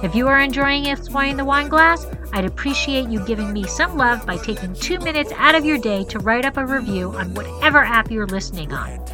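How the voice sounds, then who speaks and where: A woman's voice: fairly high pitch, animated, a little fast, hardly any pauses, over music. A woman hosting a podcast who talks in a bubbly way and laughs often. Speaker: podcast host and sommelier. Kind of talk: podcast intro and casual interview.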